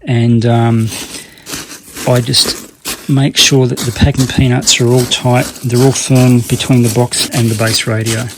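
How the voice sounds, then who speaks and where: A man's voice: medium pitch, little pitch movement, measured pace, background noise. A man presenting a casual how-to demonstration in a rambling, colloquial way, often repeating himself for emphasis. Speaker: hobbyist video presenter.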